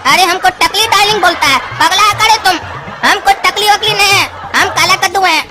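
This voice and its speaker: A woman's voice: very high-pitched, fairly quick, a loud crowd. A woman voicing a cheeky, bullying little boy in a comic cartoon, brash and mocking, with a Bihari accent. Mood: indignant, mocking. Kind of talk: cartoon skit dialogue. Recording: music clearly behind the voice.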